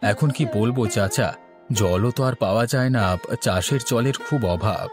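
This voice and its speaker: A man's voice: fairly low pitch, normal speed, over music, lightly acted. A man voicing a poor, earnest farmer in a cartoon story, speaking in a pleading, despairing way. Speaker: voice actor. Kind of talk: Bengali cartoon story acting.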